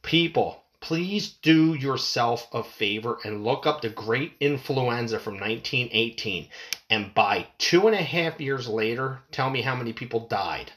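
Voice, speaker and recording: A man's voice: medium pitch, lively intonation, unhurried, a bit bright. Sniffly, ranting man swearing freely. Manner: heated, swearing, rambling with restarts. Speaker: commentator. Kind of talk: profane rant reacting to news.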